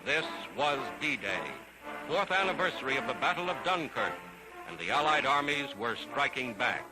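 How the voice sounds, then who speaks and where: A man's voice: mid-range voice, very animated, moderate pace, poor audio. A man narrating a 1940s newsreel in a dramatic, solemn, declamatory manner, on an archival soundtrack. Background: loud orchestral music.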